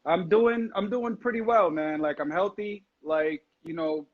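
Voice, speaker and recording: A man from Jamaica, a guest in a relaxed chat on a live video call, who repeats words and often says "facts". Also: sits high, a little slow. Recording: dull lo-fi call audio.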